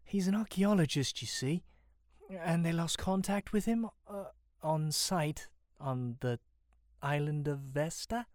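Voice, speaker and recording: A man's voice: fairly high pitch, slow pace, darkish tone, dry recording, studio quality, voice pushed up. A man playing an anxious, polite young man with a hesitant stammer in an audiobook story. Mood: nervous, explaining. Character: anxious, stammering young man seeking his lost grandfather. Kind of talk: audiobook character acting.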